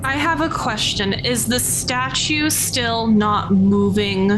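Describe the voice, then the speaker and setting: A woman with a fairly high voice speaks as a player in a tabletop roleplaying game. She thinks aloud in casual talk, with music clearly audible behind her. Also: steady tone, takes it slowly, low-quality recording. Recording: music under the voice.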